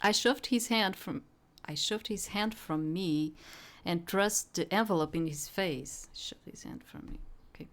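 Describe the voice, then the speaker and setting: A woman's voice: lowish voice, lively intonation, unhurried. A woman reading a visual novel aloud as dramatic first-person story narration. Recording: soft music behind the voice.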